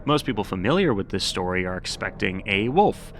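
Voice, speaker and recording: A man's voice: fairly low pitch, very animated, brisk, soft background music. A man hosting scripted horror commentary, with a wry, sarcastic manner and dry asides. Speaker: YouTube host.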